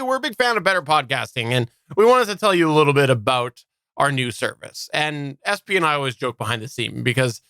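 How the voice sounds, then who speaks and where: A man co-hosting a casual live podcast chat, often repeating words haltingly as he works through a thought. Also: on the high side, bright, forceful tone, no room sound. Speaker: podcast co-host.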